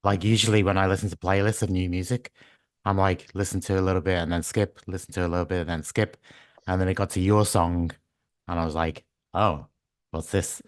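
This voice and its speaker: Croaky low-voiced male interviewer. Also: steady tone, a little fast, no echo, clean studio sound. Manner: friendly, hesitant, many ums and restarts. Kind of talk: casual interview questions.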